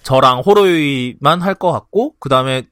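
Guy hosting a podcast, kinda high voice, really up and down, halting delivery, dry close sound. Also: lively intonation, a bit bright, no room sound. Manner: halting, frequently clears throat. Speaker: podcast host. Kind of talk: casual podcast outro and announcements.

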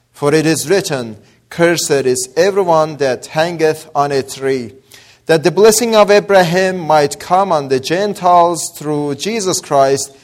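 A man with a mix of Azeri, Persian and Australian accent, preaching as a guest with scripture reading and prayer, pausing with fillers and rising to emphatic delivery. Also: on the high side. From Azerbaijani-Iranian background. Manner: builds from soft opening to raised emphatic preaching; hesitant fillers between phrases.

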